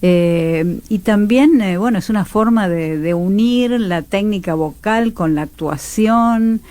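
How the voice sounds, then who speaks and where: A woman's voice: mid-range voice, takes it slowly, mellow, dry recording. A woman with a Rioplatense Argentine accent, speaking conversationally as a radio interview guest and explaining things reflectively. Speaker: radio theatre teacher and announcer, interview guest.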